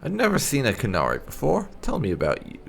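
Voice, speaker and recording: A man hosting a gaming video, giving casual, wry playthrough commentary with some light roleplay in a gravelly voice. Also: medium pitch, wildly swooping pitch, fast talker. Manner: wry, sarcastic. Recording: game music behind. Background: game music.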